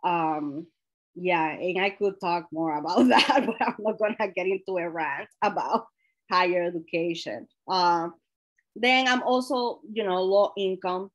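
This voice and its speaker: A woman's voice: lowish voice, unhurried, dull audio. Woman giving a frank talk. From Dominican Republic. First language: Spanish. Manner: frank and personal, with self-corrections and light laughs. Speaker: workshop presenter. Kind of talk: candid conversational workshop talk.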